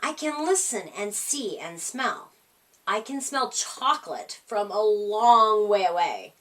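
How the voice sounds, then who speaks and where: Lady doing a little girl mouse from a storybook, cheery n eager, bright lively voice. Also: mid-range voice, slow pace, recorded from a distance. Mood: modest, explaining. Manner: eager, explaining in short sentences. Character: small, modest, knowing, cheerful female jumping mouse.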